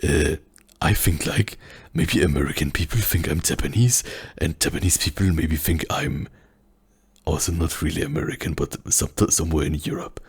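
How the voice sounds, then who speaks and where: A man from a German-speaking country with a German accent, speaking softly and often in a whisper as he answers viewer questions in a hesitant way, with many pauses. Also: a bass voice, breathy edge. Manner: hesitant, many fillers, searching for words. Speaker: ASMR channel host. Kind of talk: soft-spoken ASMR Q&A.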